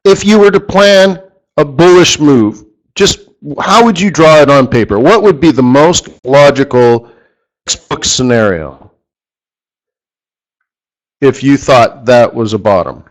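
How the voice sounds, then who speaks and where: A man leads a live trading lesson in a middle-pitched voice that is wearing out, so he stops now and then to clear his throat. His delivery is loose and off the cuff, full of restarts and self-corrections. When he wants a point to land he repeats a word over and over. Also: lively intonation, unhurried, pauses often, poor audio. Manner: rambling, self-correcting, emphatic repetition. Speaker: forex trading instructor. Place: studio or office, live stream.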